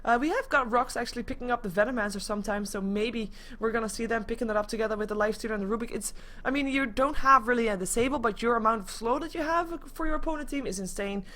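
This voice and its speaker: A woman casting an esports match live in a casual, chatty, expressive way. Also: fairly high pitch, fast talker, few pauses. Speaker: esports commentator.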